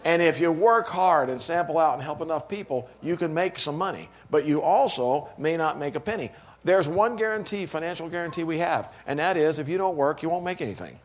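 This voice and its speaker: A man hosting a company meeting, joking and coaxing the audience before introducing a panel. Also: on the high side. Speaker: company presenter. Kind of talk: sales meeting talk.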